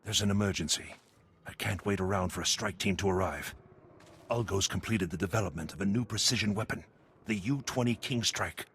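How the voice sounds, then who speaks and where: An actor playing an urgent, secretive undercover agent in a video game, giving precise briefings in a gravelly voice. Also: male voice, lowish voice, a little fast, a bit bright, slight background noise. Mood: urgent, guarded. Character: male Republic intelligence agent undercover, urgent, secretive, earnest.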